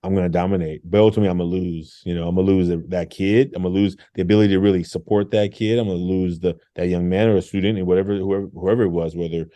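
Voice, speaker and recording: A middle-aged man, a former engineer turned teacher, telling animated stories in an interview, acting out quotes and laughing often. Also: sits low, very fast, few pauses, no echo. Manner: lively, acts out quotes with laughs.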